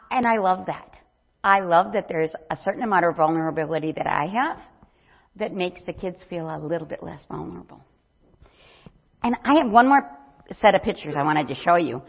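An older woman with a lowish voice talks through a church mission presentation. She rambles warmly, filling gaps with ums. The sound is dull and lo-fi. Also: gravelly, breathy edge. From not from Brazil. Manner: warm, chatty, rambling with many ums. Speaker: missionary speaker.

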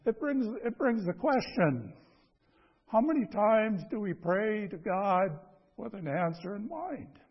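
A man preaching a conversational sermon in a folksy, halting way, repeating phrases and asking rhetorical questions. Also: high voice, very animated, muffled recording. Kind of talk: conversational sermon with scripture reading.

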